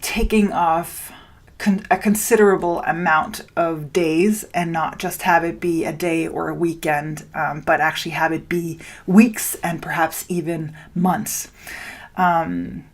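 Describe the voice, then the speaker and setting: Slow, lower-pitched woman podcaster from Denmark. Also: fairly even intonation. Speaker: podcast host and spiritual business coach. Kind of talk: solo podcast talk addressed to listeners.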